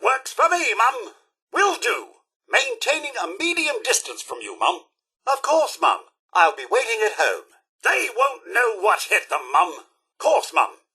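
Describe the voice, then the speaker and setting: A man's voice: very high-pitched, huge pitch swings, unhurried, sharp tone, husky, full character voice. A man voicing a prim, loyal, fretful robot butler in a video game, with a British accent and formal, deferential manners. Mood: eager, alert. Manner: formal, deferential, theatrically polite. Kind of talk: scripted video game dialogue.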